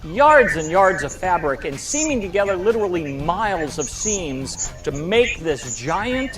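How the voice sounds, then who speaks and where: High-pitched, animated American man over music. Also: a little slow. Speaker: behind-the-scenes presenter. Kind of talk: explanatory promotional presentation.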